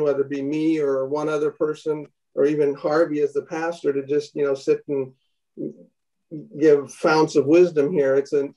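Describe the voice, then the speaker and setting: A man in his fifties leading a bible study on a video call, reading aloud from a book with halting restarts. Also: on the high side, mellow, recorded from a distance, highs cut off. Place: home room indoors. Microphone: laptop webcam on a video call.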